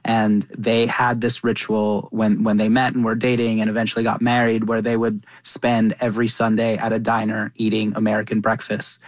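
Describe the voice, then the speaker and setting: A man's voice: fairly low pitch, monotone, normal speed, few pauses, no room sound. A man from Qatar chatting casually as an interview guest, earnest and often rephrasing himself as he goes. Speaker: interview guest, chef and cookbook author.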